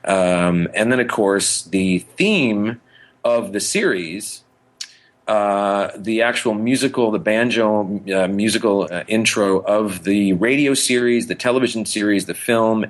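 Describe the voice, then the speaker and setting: A man's voice: lowish voice, measured pace. A man co-hosting a podcast in casual chat, rambling enthusiastically and often correcting himself mid-thought. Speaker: podcast co-host.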